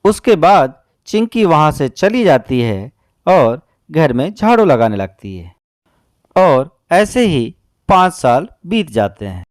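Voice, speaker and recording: A man tells a scripted children's story as narrator. His voice sits fairly high and swings widely in melody, with slow, measured phrasing. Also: very animated, no room sound, clean studio sound.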